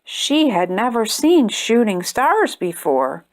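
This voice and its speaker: Gentle, lively woman reading a kids' story. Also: on the high side, slow pace. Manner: gentle, expressive reading, brighter and chattier when addressing viewers. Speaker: storytime host reading a children's book. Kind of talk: read-aloud storytelling for kids.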